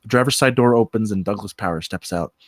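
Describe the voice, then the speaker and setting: A man's voice: on the low side, fast, no echo, clean studio sound. A man reading screenplay stage directions aloud as scripted narration.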